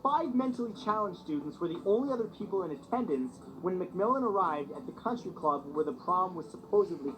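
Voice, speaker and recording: A man's voice: high-pitched, noisy background. A man narrating a scripted countdown video, mixing read-out facts with slangy asides, heard as played-back video audio.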